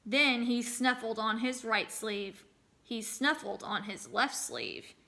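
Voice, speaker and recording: A woman teacher reads and explains a story for children. Her voice is bright and a little high, and she phrases things expressively, with deliberate emphasis. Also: creaky. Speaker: teacher reading aloud to children. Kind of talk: expressive storybook read-aloud with lesson questions.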